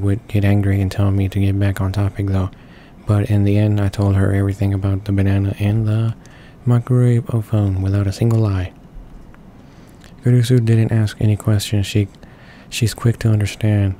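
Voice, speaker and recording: Low, flat-voiced man reading along. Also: slight background noise. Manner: halting, stumbling over words. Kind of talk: reading visual novel text aloud. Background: game music.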